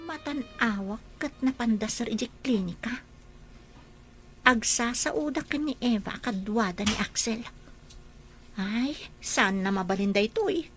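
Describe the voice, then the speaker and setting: A woman's voice: on the high side, a little slow, a bit bright. A woman playing a jealous, scheming woman in a radio drama, muttering plans to herself.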